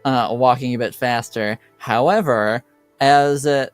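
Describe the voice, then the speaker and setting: Unhurried, smooth-voiced man running a game. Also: medium pitch, fairly even intonation, faint music behind. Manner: halting, hesitant delivery. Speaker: game master. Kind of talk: improvised narration of a tabletop game.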